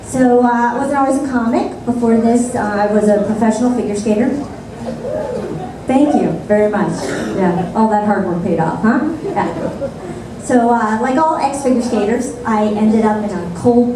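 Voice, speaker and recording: A woman doing a stand-up comedy set on a club stage, with deadpan, sarcastic asides. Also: mid-range voice, moderate pace, background noise. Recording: echoey club sound from a distant mic.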